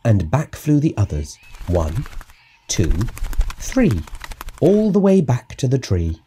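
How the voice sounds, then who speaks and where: Mellow man narrating a children's storybook. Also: medium pitch, a little hiss or hum. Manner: expressive, measured read-aloud. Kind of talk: scripted children's audiobook narration.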